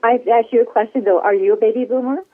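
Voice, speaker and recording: A woman's voice: a little high, fairly even intonation, racing. A woman in her sixties chatting casually as an interview guest, effusive and rambling, over a telephone. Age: 60s. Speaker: podcast guest, flight attendant. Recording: tinny phone-line sound.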